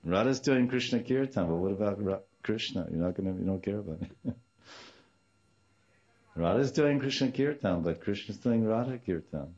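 Older guy, deep voice, informal devotional teaching w/ sanskrit words, kinda dull muffled recording. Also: expressive, moderate pace, darkish tone. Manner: storytelling with repeated phrases and Sanskrit terms.

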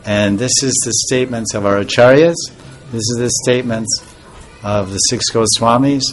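A man giving an informal devotional talk as a spiritual teacher, soft-spoken and rambling, with pauses and restarts. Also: medium pitch, faint music behind.

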